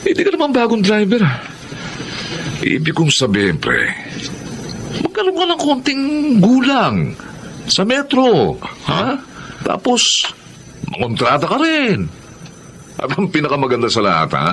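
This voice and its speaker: An actor with a Filipino accent playing a cocky, sly, swaggering cab driver in a radio drama. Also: male voice, sits high, wildly swooping pitch, a little slow, long pauses, fairly bright tone, slight background noise, exaggerated voice. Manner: swaggering, jokey.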